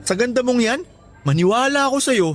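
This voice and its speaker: A man's voice: very high voice, animated, brisk, faint music behind. A Filipino man playing a bold, playful young suitor in a radio romance drama, earnest and smitten. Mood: confident, teasing. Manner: playful, teasing, forward.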